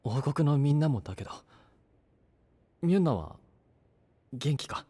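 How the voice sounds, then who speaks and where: An actor playing a brusque but caring young knight with a husky voice and blunt, casual speech in an anime audio drama. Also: male voice, on the high side, lively intonation, unhurried, mellow, big-room sound. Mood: caring, gruff.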